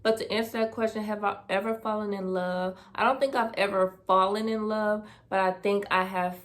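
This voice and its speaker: A woman's voice: mid-range voice, level tone, normal speed. A woman, casually answering personal questions on her vlog, thinking aloud with fillers. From Dayton, Ohio, US. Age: 20s. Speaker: vlogger. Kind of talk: casual Q&A tag video.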